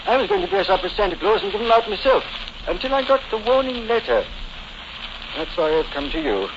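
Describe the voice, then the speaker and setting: An actor playing a fussy, earnest, wealthy lord with an English accent, polite and hesitant in a 1940s radio drama. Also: male voice, very high voice, wildly swooping pitch, brisk, vocal fry, full character voice. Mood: earnest, anxious. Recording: noisy, dull old 1940s radio recording.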